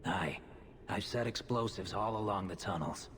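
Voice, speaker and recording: A man playing a gruff, proud dwarf in a video game, with a hearty, blunt manner. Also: on the low side, moderate pace, natural voice. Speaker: dwarf explosives expert in a fantasy game. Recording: noisy, echoing cavern ambience.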